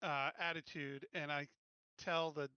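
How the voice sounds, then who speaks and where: Higher-pitched, bright male game player. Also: fairly even intonation, unhurried, clear, resonant tone, no room sound, dull audio. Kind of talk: casual game chat.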